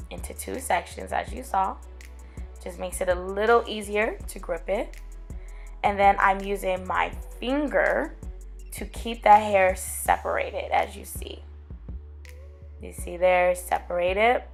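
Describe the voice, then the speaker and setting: A young woman, raspy from getting over a cold, chatting casually to her viewers as she explains braiding her own hair step by step, recorded close to a camera at home. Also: medium pitch. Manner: chatty, addresses viewers as guys and girl. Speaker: hair tutorial vlogger. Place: small room at home, indoors.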